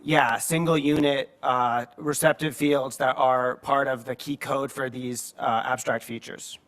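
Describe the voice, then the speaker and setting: A man's voice: medium pitch, fairly even intonation, unhurried, fairly bright tone. A man in the audience of an academic talk, asking a question with hesitant repeats. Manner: hesitant, repeats words while building up a question.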